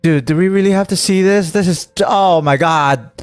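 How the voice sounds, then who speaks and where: A man's voice: high voice, normal speed, clear, resonant tone, dry recording, faint music behind. A man doing casual live reaction commentary as a game streamer, slangy and exclamatory, on a close mic.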